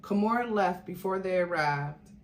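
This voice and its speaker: A woman's voice: on the low side, normal speed. A woman reading a story aloud in a dramatic, theatrical way, as a host would. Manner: theatrical, acts out quoted dialogue. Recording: roomy echo with faint noise.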